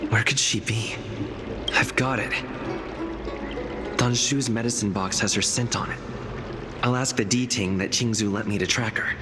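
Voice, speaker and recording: A man's voice: lowish voice, moderate pace, long pauses, close to an everyday voice. An actor playing a determined young man on a search in a video game, musing aloud to himself. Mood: pensive, resolved. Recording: loud music over the voice.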